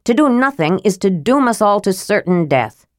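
A woman reading the lines of a solemn male knight who speaks gravely and earnestly. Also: medium pitch, sing-song, moderate pace, darkish tone, dry recording, studio quality, pitched above the performer's own voice, natural voice.

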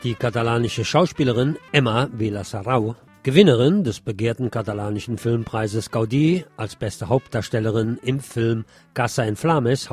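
A man's voice: mid-range voice, a little mellow, breathy edge. A man presenting scripted news on the radio, reading as a presenter.

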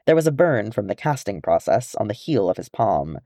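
A man reading a fantasy story aloud as expressive scripted narration. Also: on the low side, a little fast, no room sound, clean studio sound. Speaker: audiobook reader of fan fiction.

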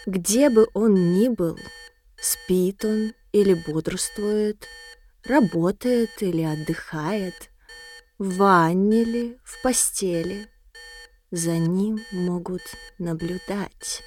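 Slow, mellow female narrator. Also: medium pitch. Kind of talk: scripted dramatic narration.

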